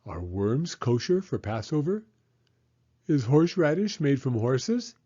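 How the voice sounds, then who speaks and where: A man voicing an excitable, curious little girl in a storybook reading. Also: medium pitch, measured pace, breathy edge, highs cut off, voice pushed up. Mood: eager, curious.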